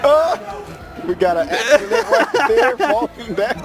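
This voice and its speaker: A man's voice: extremely high pitch, very animated, unhurried. A man giving casual, colloquial color commentary as a reactive esports co-commentator. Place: live tournament venue. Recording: loud event hall, crowd and music. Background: crowd and music.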